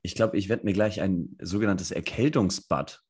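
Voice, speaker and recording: A young man with a cold chats and jokes loosely as a podcast co-host. His voice sits low and sounds hoarse and husky. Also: moderate pace, a little mellow. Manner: joking, laid-back banter. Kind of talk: casual chat.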